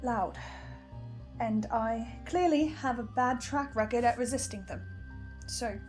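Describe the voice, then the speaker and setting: Actress playing anxious young woman, high pitch, strained, halting, audio drama, faint music bed. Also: a little mellow, natural voice. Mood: troubled confession. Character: anxious, haunted young woman fearing her own corruption.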